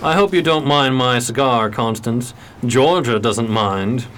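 Gentleman gambler, acted by a man. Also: mid-range voice, a little slow, lightly acted. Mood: blunt, sociable. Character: suave cigar-smoking gentleman at a 1920s poker party. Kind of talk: radio play acting.

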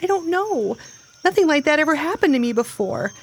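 Animated, flustered pregnant young wife. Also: female voice, high voice, fairly quick. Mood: puzzled, uneasy. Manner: expressive, flustered. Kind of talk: radio drama acting. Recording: faint background noise.

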